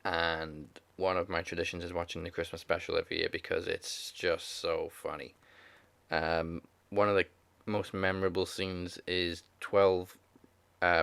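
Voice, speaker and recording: Gravelly low-voiced man chatting. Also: flat delivery, unhurried, a bit bright, dry recording. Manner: chatty, laughs often, retells scenes with put-on voices. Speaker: podcast co-host. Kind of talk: casual podcast chat.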